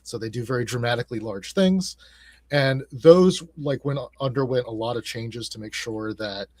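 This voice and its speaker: A man speaking as an interview guest, casually explaining his work and thinking aloud in halting phrases. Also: medium pitch, darkish tone, dry recording. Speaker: game designer, interview guest.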